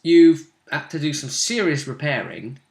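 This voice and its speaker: A man's voice: a little high, big pitch swings, unhurried, creaky. A man talking casually as game master of a tabletop role-playing game, chatty and fond of tag questions. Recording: echoey room.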